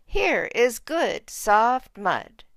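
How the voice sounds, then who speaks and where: A woman voicing a small, grumpy, excitable male pig who loves mud, in a storybook. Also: fairly high pitch, very slow, vocal fry, no room sound, studio quality, full character voice. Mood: contented.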